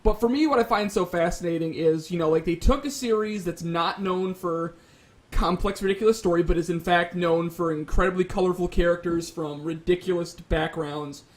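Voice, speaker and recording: A man with a fairly high voice talks as a panelist on a casual debate show. He builds wordy arguments with plenty of hedging along the way. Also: moderate pace. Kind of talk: casual pop-culture debate.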